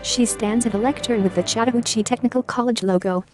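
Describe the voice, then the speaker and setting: A woman's voice: on the high side, fast talker. A woman reading a scripted audio description in a plain, neutral way. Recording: dry voice-over with faint music.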